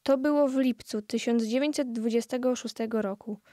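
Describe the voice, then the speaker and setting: A woman tells a scripted story as part of a radio play. Her voice sits a little high and is recorded dry, with very little room. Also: brisk, warm tone, no room sound. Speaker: narrator in an amateur radio play.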